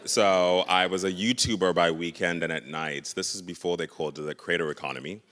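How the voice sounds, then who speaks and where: A man's voice: low voice, fairly even intonation, fairly quick, fairly bright tone. A man from Zimbabwe and South Africa, telling personal stories as an event co-host. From born in Zimbabwe, moved to South Africa. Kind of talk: personal storytelling on stage. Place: large reverberant venue. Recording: long hall reverb.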